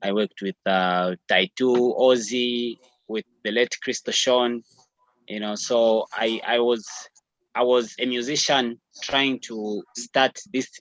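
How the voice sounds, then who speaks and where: A man in his thirties from Zambia, a musician talking reflectively in a remote interview, filling pauses with "you know". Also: medium pitch, fairly bright tone, dry recording, lo-fi sound. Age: 30s. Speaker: musician guest. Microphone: video or phone call.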